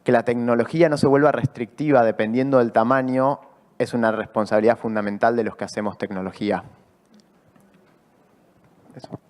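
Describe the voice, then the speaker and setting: Brief: male panelist, mid-pitched, explanatory delivery, long reverb from a conference hall. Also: fairly even intonation, fairly quick, long pauses, a little mellow. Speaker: panelist, tech company head.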